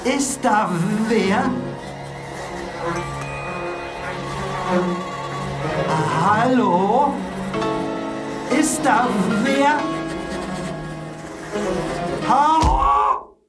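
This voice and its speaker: A man's voice: extremely high pitch, drawn-out words, long pauses, loud music behind the voice, full character voice. An actor playing a young, unsure policeman who stammers, in a radio play. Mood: anxious calling. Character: young, unsure, simple policeman, easily awed. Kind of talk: German radio play acting.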